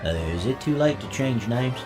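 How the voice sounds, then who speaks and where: A man's voice: medium pitch, lively intonation, normal speed, close to an everyday voice. A man playing a hapless, grumbling young pirate deckhand dog in a comic audio drama, hesitant and whiny. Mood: bewildered. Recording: music under the voice.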